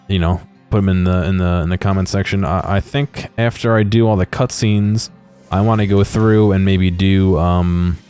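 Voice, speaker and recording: A man giving unscripted commentary on video game footage in a hesitant, rambling, low-key way. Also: a little mellow.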